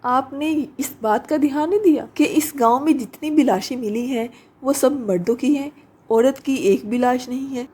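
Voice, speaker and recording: A woman's voice: high-pitched, moderate pace, a little mellow, breathy edge. A woman voicing a brave young village daughter, confident and assured, in a horror storybook. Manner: bold, assured.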